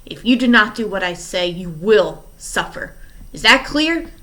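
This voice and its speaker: A woman's voice: medium pitch, lively intonation, normal speed, fairly bright tone. A woman reading aloud and voicing a scheming, menacing male villain who mocks the children. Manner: mocking, menacing.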